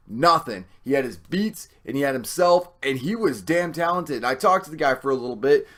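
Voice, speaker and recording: A hoarse man hosting his own video channel, talking in a rambling, excitable way full of swearing. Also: a little high. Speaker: host. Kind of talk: casual video rant.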